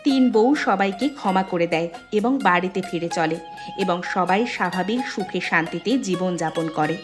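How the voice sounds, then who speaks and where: A woman's voice: fairly low pitch, moderate pace, over music. A woman narrating a scripted children's story.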